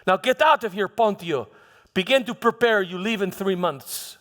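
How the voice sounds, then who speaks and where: Guy acting as a proud defensive roman governor, high bright voice, halting dramatic church monologue in a big echoey hall. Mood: brisk, confiding. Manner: declamatory, emphatic, halting with repeated words. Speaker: preacher performing a dramatic monologue. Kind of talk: first-person theatrical church monologue. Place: large hall, live church performance. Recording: long hall reverb.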